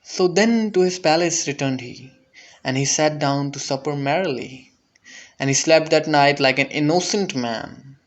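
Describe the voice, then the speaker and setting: A man giving a dramatic, deliberate recital of a narrative poem, with theatrical intensity. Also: on the high side. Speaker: poetry reader.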